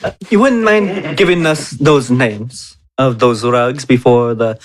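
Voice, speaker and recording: A man's voice: mid-range voice, slow pace. A man playing a tabletop roleplaying game casually, speaking in a playful, mock-polite way.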